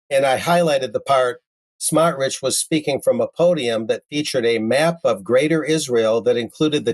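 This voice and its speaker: A man presenting news commentary, reading articles aloud and adding wry, conversational asides of his own. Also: mid-range voice.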